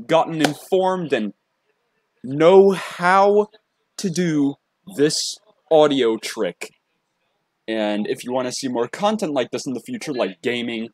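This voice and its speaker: Slow-paced man with lively pitch swings. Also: no echo. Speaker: tutorial presenter and hobby voice actor. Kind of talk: casual software tutorial.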